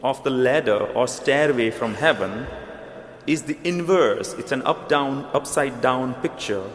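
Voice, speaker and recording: A man with a thick Indian accent preaching an earnest, measured sermon, now and then restarting words. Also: mid-range voice. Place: large church hall. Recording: echoey church hall with long reverb.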